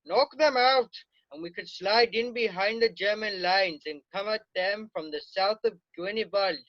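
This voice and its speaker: Man as a very high-voiced, dutiful sergeant major. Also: moderate pace, lo-fi sound, close to an everyday voice. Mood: matter-of-fact, urgent. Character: precise, dutiful male army sergeant major scout. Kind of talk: amateur screenplay read-through.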